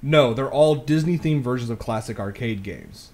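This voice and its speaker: A man's voice: mid-range voice, expressive, normal speed, a little mellow, a little hiss or hum. A man with an American accent talking casually as a podcast co-host, recorded on a microphone in a small home room. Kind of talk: casual chat.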